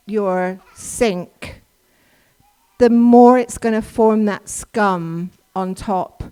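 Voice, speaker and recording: A woman's voice: medium pitch, warm tone, smooth. English woman explaining with slides. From England. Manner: chatty and explanatory, with frequent restarts and ums. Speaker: workshop presenter. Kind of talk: informal church workshop talk with slides. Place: church hall.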